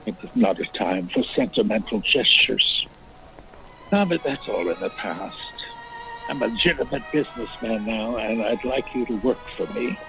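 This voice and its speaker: Actor as a gravelly, menacing film noir crime boss. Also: male voice, lowish voice, expressive, moderate pace, dull audio. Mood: smooth, persuasive. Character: menacing, suave fat millionaire crime boss. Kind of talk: 1940s film noir acting. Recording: band-limited old film sound with music.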